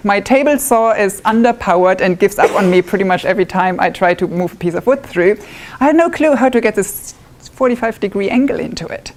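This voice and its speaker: A woman's voice: medium pitch, darkish tone. A woman from northwest Germany with a German accent, giving a chatty, self-deprecating talk to a woodworking club audience. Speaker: club presenter. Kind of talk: live demonstration talk. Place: club meeting room with live audience.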